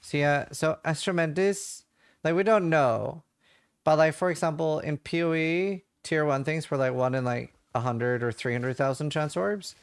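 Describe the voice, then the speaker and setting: A man's voice: a little high, normal speed, clean tone, no room sound, studio quality. A man from Norway, casually chatting and thinking aloud while he streams a game and answers chat questions.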